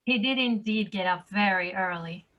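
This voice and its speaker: A woman's voice: medium pitch, normal speed, highs cut off, lightly acted. A woman playing a no-nonsense, wry mother in her mid-thirties in a drama table read. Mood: wry, matter-of-fact.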